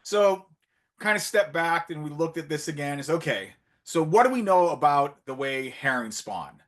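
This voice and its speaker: A man giving a conference talk with slides, chatty and full of fillers and restarts, getting animated when he retells his anecdotes. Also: on the high side, normal speed. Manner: conversational, many fillers and restarts. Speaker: conference speaker. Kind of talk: presentation with slides, storytelling.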